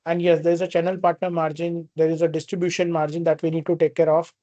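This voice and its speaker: An Indian man speaks as a company executive taking investor questions. His voice sits a little high for a man and comes through very dry, with no room sound. He explains step by step in a spoken, unscripted way. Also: little pitch movement, fast talker, mellow, dry recording, dull audio. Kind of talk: earnings call question and answer.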